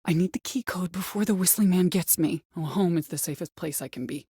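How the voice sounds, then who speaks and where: An actress playing a woman caller in a video game, by turns desperate, coaxing and menacing, with a hushed, insistent way of pleading. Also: on the low side, a little fast, breathy edge, no room sound, clean studio sound. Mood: frightened pleading. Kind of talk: video game voice acting, dramatic dialogue.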